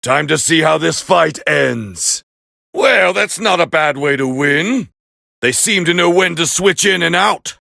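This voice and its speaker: A man voicing a proud, scornful alien warrior as a fighting game commentator, gravelly and haughty in an English anime dub style. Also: fairly high pitch, animated, unhurried, no echo, clean studio sound, exaggerated voice. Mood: commanding, disdainful. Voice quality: gravelly, raspy. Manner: haughty, forceful, clipped exclamations.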